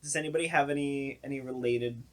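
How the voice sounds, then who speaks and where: Low-key podcast host, a wry woman. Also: fairly even intonation, fast talker. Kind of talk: casual chat.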